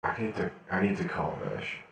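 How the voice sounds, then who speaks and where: A man playing a weary cyborg patient in an online game roleplay, halting and groping for words. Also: low voice, big pitch swings, gravelly, fairly bright tone, full character voice. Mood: troubled. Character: weary male cyborg prisoner recovering from brain surgery. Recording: reverberant, distant from the mic.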